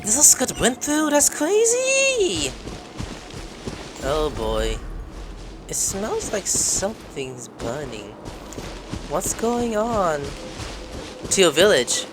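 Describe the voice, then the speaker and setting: A woman's voice: medium pitch, huge pitch swings, slow pace, pauses often. A woman giving casual, exclamatory, sweary reaction commentary while playing a video game. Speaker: gamer reacting to a game playthrough. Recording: game music under the voice.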